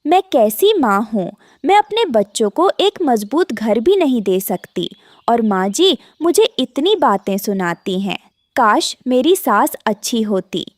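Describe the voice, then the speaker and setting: A gentle young mother bird from a cartoon, acted by a woman. She sounds earnest and plaintive, with a high, animated voice full of worry. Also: a little slow, dry recording. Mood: sad, self-blaming. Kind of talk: Hindi cartoon voice acting.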